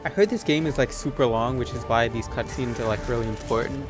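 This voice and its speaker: A man giving casual let's play commentary as a gaming video host, rambling and thinking out loud. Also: mid-range voice, brisk, over music.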